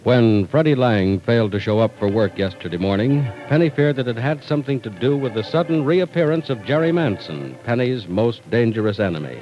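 Male announcer, vintage radio serial, dramatic scripted delivery with sweeping pitch, faint music underneath. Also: animated, fairly quick, few pauses, darkish tone, poor audio. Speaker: announcer and narrator.

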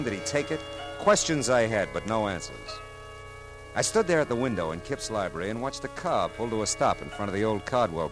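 A world-weary male private eye played by an actor from a classic radio detective drama. His voice is low, with lively swings in pitch under a dry, deadpan wit. Music sits behind the vintage recording. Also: lightly acted.

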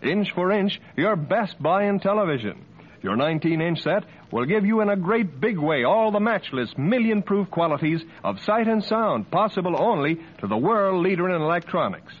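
A man's voice: high voice, expressive, moderate pace. A man with an American accent reading a scripted commercial as an old-time radio announcer. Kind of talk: scripted 1940s radio advertising read. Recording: muffled old radio recording.

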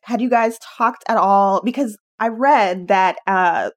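A woman's voice: medium pitch, measured pace. A woman co-hosting a podcast, asking questions in a casual conversational interview style.

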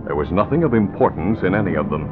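Deep-voiced radio serial narrator, music behind. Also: male voice, animated, fast. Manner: formal, dramatic. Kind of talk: scripted old-time radio drama narration. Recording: narrow vintage radio recording with music.

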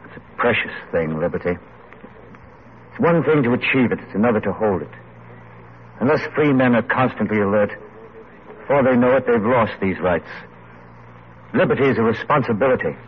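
Impassioned English political idealist, male actor, impatient and declamatory, medium pitch, vintage radio drama with muffled sound. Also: lively intonation, moderate pace, pauses often, slight background noise, close to an everyday voice. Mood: earnest, insistent. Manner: intense, declamatory. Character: earnest, impatient, idealistic English radical pamphleteer. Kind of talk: 1940s radio drama acting.

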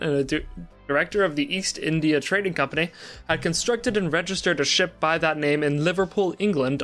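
A man's voice: a little high, fairly bright tone. A man reading a fictional report aloud on a livestream and chatting casually. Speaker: guest on a charity stream.

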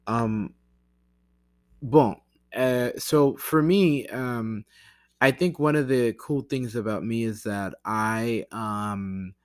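An American man talking casually to camera in a rambling, halting way, often interrupting himself with "like" and "you know". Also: mid-range voice, no room sound, studio quality. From United States. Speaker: language learner vlogger.

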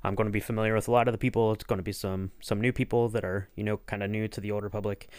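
A man's voice: on the low side, level tone, very fast, darkish tone, dry recording, studio quality. A man hosting a casual podcast interview, talking in long, rambling, circling sentences.

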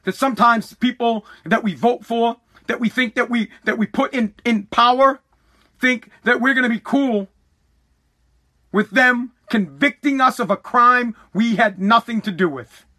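A man's voice: very high-pitched, measured pace, bright, forceful tone. An American man hosting a podcast, delivering an opinionated solo rant in a combative, emphatic, repetitive and profane manner. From United States. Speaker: podcast host.

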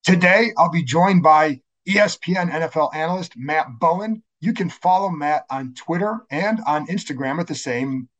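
Male podcast host, scratchy voice, a bit high, loose conversational delivery with fillers. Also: moderate pace, few pauses, fairly bright tone. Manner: conversational, self-correcting, many fillers. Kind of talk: solo sports podcast intro.

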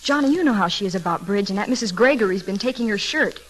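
A woman's voice: mid-range voice, lively intonation, fast talker, lightly acted. An actress playing an earnest, anxious young working woman in old-time radio drama style, on a noisy old recording. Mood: indignant.

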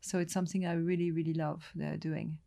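Gravelly-voiced middle-aged woman. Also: low voice, flat delivery, fairly quick, mellow. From Lebanon. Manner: hesitant, many restarts and fillers, chatty storytelling. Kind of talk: relaxed interview conversation.

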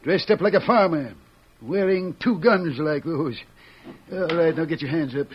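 An actor playing a blunt, suspicious small-town sheriff with a gruff, folksy manner in an old radio western. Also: male voice, a little high, normal speed, slight background noise, close to an everyday voice. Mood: stern. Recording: muffled vintage radio, faint music.

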